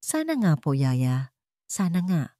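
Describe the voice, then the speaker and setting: A woman voicing a young female company chairperson who speaks softly and politely. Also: low voice, big pitch swings, slow, no room sound, studio quality, exaggerated voice. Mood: tender.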